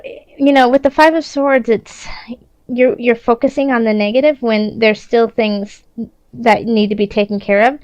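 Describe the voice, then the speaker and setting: A woman's voice: on the high side, smooth, dry recording. A woman giving a casual, improvised tarot reading in a conversational, reassuring way, often restarting her thoughts. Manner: reassuring, encouraging. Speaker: tarot reader.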